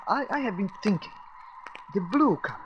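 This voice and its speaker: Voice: mid-range voice, animated, fairly quick, fairly bright tone, muffled recording, slight background noise, lightly acted. A performer playing an earnest, level-headed scientific space traveller in a radio serial, with precise, formal phrasing. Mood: thoughtful. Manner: precise, formal, insistent phrasing without contractions.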